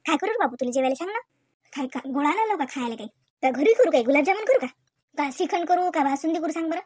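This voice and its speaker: A woman's voice: high voice, expressive, fast talker, darkish tone, dry recording, clean studio sound. A woman playing a doting, chatty village mother in a Marathi comedy cartoon, with a rural Vidarbha accent, cooing in baby talk and teasing. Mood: caring, homely.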